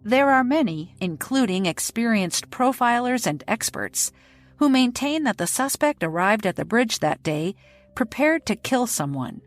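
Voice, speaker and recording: A woman hosting a podcast, reading scripted true-crime narration in a measured, even way. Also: on the high side, dry recording, soft background music. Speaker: podcast host.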